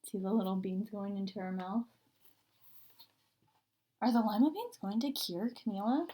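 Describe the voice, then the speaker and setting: A woman reading a children's story aloud expressively and deliberately, stretching words for emphasis. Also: medium pitch, warm tone. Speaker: story reader.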